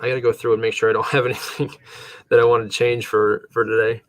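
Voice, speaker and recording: A man's voice: medium pitch, flat delivery, quick pace. A man co-hosting a casual sports podcast from his home computer setup, a little tired, rambling loosely with chuckles. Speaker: podcast co-host.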